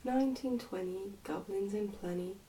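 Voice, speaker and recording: A woman's voice: mid-range voice, normal speed, dark tone, distant mic, close to an everyday voice, poor audio. A woman voicing a cheerful, fearless twelve-year-old miner boy in a storybook, with a confident, sing-song manner. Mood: merry chanting.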